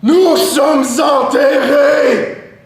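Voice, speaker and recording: A man's voice: extremely high pitch, steady tone, drawn-out words, heavily acted. A man performing declamatory stage poetry as an anguished, defiant voice speaking for a group. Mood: anguished, declaiming. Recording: very echoey live stage recording.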